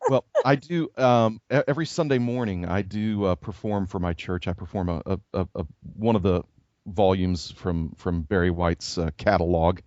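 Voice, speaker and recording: Male pastor co-host, low voice, halting and filler-heavy, dry lo-fi podcast sound. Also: darkish tone, no echo. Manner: halting, many fillers and restarts, laughs easily. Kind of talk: casual podcast chat. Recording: dull lo-fi podcast audio, dry.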